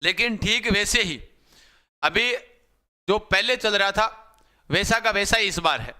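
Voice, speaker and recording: Slightly hoarse, bright-voiced coaching teacher. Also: male voice, high-pitched, lively intonation, unhurried. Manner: repetitive, addresses viewers as brothers. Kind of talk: live-stream class talk.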